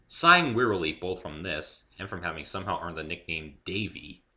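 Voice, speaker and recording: A man narrates a first-person story in a dramatic reading. His voice is fairly low and very bright, with animated swings in pitch. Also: a little fast. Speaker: amateur reader and author of the story.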